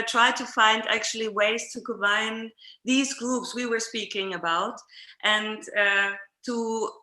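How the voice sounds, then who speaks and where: Slow-paced woman host, echoey room. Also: fairly high pitch, bright, forceful tone. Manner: hesitant, searching for words. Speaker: host of an art talk session. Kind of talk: unscripted discussion.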